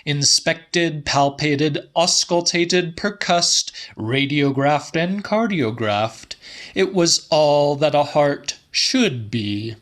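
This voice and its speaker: A man reading literary fiction as an audiobook narrator, slightly dramatic, with deliberate, measured phrasing. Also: on the high side, lively intonation, fairly bright tone. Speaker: audiobook reader.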